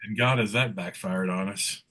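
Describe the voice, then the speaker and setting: A man's voice: fairly low pitch, normal speed, a bit bright. A man in casual conversation as a panel guest, talking in a loose, rambling way. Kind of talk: casual chat.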